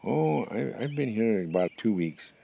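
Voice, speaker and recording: A man's voice: lowish voice, lively intonation, a little slow, gravelly, mellow, a little hiss or hum, pitched above the performer's own voice. A man voicing a talking buffalo chip that gives simple, helpful answers in an oral animal folktale.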